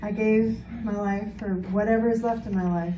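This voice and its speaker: A woman's voice: medium pitch, steady tone, normal speed, lo-fi sound, a crowd in the background. A woman performing scripted reader's theater as one of the readers in a church hall. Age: 50s. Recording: echoey hall from far off, noisy, background sound.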